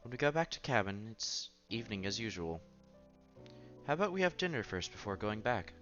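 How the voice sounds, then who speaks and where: A man with a lowish voice reads a visual novel aloud as he plays, slipping between narration and casual reaction. He sometimes trips on a line and repeats it. The sound is a bit dull and lo-fi, with game music playing behind him. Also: big pitch swings, fairly quick, a bit bright. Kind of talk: playthrough narration, reading story text aloud with casual reactions. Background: visual novel background music.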